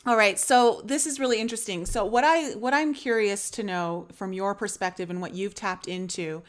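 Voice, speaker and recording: A woman's voice: medium pitch, moderate pace. A woman hosting a podcast, chatting casually with a guest and rambling with many fillers and restarts. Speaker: podcast host. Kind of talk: casual interview chat.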